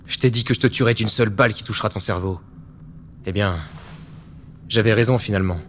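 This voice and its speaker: Man playing a low-voiced, weary secret agent. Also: brisk, a bit bright, slight background noise, lightly acted. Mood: cold, vengeful. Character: weary, vengeful male secret agent on his last mission. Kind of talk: French audio fiction acting.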